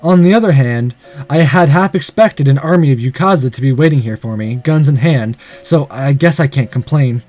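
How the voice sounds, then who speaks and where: A man reading a visual novel aloud in an expressive way, with casual, sweary off-the-cuff commentary as a let's player. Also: medium pitch, moderate pace, soft background music.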